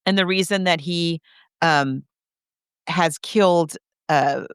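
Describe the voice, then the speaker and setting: A woman with a fairly low, clear voice chats as a podcast co-host. She retells a film casually, like someone telling a story to a friend. The recording is close and dry. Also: takes it slowly, dry recording. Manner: conversational storytelling, acting out characters' reactions. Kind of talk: casual film recap chat.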